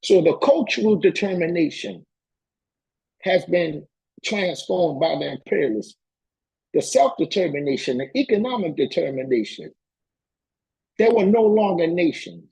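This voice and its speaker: Male lecturer, notably high voice with heavy rasp, hammering points home in plain colloquial speech and repeated phrases. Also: expressive, moderate pace, pauses often, a little mellow, poor audio. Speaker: political education lecturer. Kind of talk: impassioned political lecture, reading and commenting on a text.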